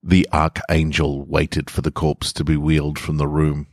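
A man's voice: extremely low pitch, completely flat delivery, moderate pace, no room sound, studio quality. A man hosting a podcast, talking to listeners and narrating short stories he has written. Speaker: podcast host and author reading own stories. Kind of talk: relaxed podcast introduction and short-story narration.

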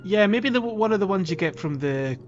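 A man's voice: high-pitched, expressive, fairly quick, highs cut off. A man co-hosting a podcast, casually discussing a video game and often thinking aloud with some hesitation. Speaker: podcast co-host.

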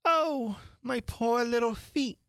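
A prim, fretful little girl wonders aloud in a raised, gravelly voice, as a woman puts her on. She speaks slowly and properly, from a dry, close storybook reading. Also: a little high, dry recording, pitched above the performer's own voice. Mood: musing. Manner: prim, talks to herself in wonder. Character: young girl, curious and fretful.

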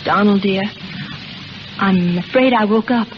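An actress playing a sincere, wounded young shop girl in a 1930s radio melodrama, earnest and halting. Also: mid-range voice, close to an everyday voice. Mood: subdued, upset. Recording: noisy, dull old 1930s radio recording.

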